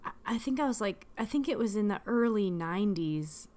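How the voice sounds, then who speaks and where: A woman's voice: medium pitch, lively intonation, a little fast, a little mellow. Chatty woman podcast co-host. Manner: chatty, reads research notes aloud. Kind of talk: casual chat.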